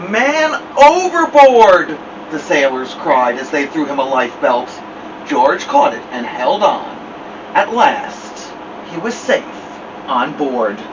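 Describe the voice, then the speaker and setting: A man reading a children's picture book aloud as a storytime host, theatrically, with drawn-out words and dramatic pauses. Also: mid-range voice, lively intonation. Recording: distant mic, dull sound, noisy background hum.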